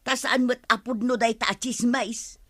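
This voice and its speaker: A woman's voice: on the high side, steady tone, normal speed, no room sound, clean studio sound, natural voice. A woman with an Ilocano accent playing an eager, chatty village gossip in a radio comedy drama. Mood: conspiratorial.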